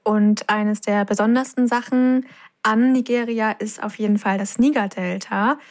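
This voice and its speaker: A woman's voice: on the high side, fairly even intonation, moderate pace. A woman co-hosting a podcast, presenting facts in a conversational style. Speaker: podcast co-host.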